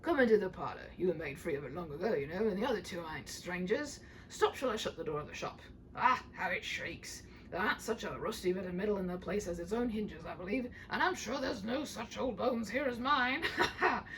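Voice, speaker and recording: A woman's voice: mid-range voice, animated, fairly quick, fairly bright tone, distant mic, slight background noise, natural voice, poor audio. A woman voicing a grey-haired old rascal of a junk dealer, near seventy, jovial and rambling, in a storybook read-aloud. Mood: jovial, welcoming.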